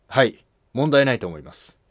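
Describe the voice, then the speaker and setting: A man's voice: mid-range voice, animated, normal speed, no echo, clean studio sound, close to an everyday voice. A man playing a deferential, earnest court minister in a scripted historical drama. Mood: respectful, explanatory.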